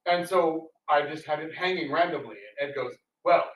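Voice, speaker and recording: A man's voice: fairly high pitch, moderate pace, highs cut off. A man chatting casually to an audience as a theatre performer, telling anecdotes in a rambling way. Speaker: radio theatre performer giving a public talk. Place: indoor public talk room. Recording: roomy, distant mic with background noise.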